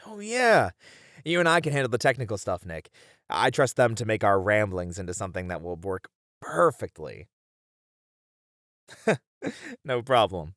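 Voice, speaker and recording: A thoughtful, candid game developer, played by a man, speaks with a lowish voice that moves around a lot in pitch. He sounds casual and a little hesitant, uneasy yet eager, recorded dry for an intimate audio roleplay. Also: big pitch swings, moderate pace, pauses often, a bit bright, dry recording. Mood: eager, amused. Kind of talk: ASMR roleplay voice acting.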